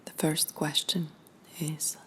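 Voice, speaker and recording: A woman reading a scripted guided meditation in a soft, breathy, near-whispered voice with pauses. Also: low-pitched, flat delivery.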